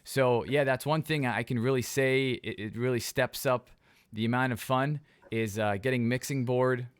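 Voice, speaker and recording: A man's voice: medium pitch, fairly even intonation, moderate pace, clean tone, no echo. A man on a video call, chatting with enthusiasm and many fillers about linking radio to live streams. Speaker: ham radio operator who streams nets. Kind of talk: casual video call chat.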